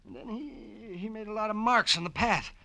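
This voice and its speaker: An actor playing a calculating older villain in a classic radio drama, precise and self-satisfied. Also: male voice, high-pitched, moderate pace, gravelly, pushed and bright, exaggerated voice. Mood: cornered, reluctant. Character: smug, calculating older male villain with a gun.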